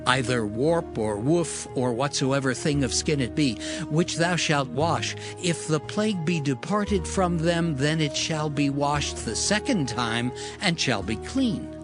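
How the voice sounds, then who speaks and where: Male scripture reader. Slow, solemn, deliberate delivery with music clearly underneath. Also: fairly high pitch, expressive. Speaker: audio Bible reader. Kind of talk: dramatized scripture reading. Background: background music.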